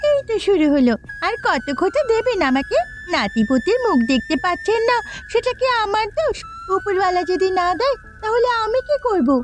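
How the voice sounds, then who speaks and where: Woman doing a naggy young daughter-in-law, high animated cartoon voice, defiant, music in back. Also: a little fast. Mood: nagging, angry. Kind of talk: Bengali moral-tale cartoon dubbing.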